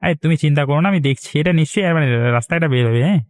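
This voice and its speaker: Guy doing greedy cartoon village husband, high dry voice, scheming and wheedling. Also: rapid-fire, no room sound, natural voice. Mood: flattering, eager. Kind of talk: Bengali cartoon dialogue.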